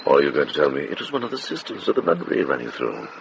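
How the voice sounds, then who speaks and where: An old monk in a period radio drama, his voice very deep and swinging widely in pitch. He is wise and dry, probing gently, over loud ambient noise in a muffled recording. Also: huge pitch swings, very fast, vocal fry, exaggerated voice. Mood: wry, teasing. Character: wise, wry old Benedictine monk, healer and investigator. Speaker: Benedictine monk and healer.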